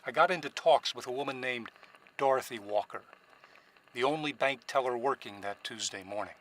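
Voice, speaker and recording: A man's voice: mid-range voice, normal speed, a bit bright, close to an everyday voice. Man acting as clipped noir private eye, noisy recording. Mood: cool, narrating. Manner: clipped, businesslike, unruffled. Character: cool, curt male private investigator, narrating his case. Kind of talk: 1940s noir radio drama acting and narration.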